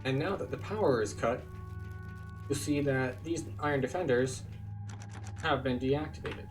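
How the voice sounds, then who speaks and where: A man's voice: mid-range voice, moderate pace, distant mic, soft background music. A man casually explaining a video game as he plays, like a tour guide pointing things out. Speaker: game walkthrough host. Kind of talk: casual gameplay commentary.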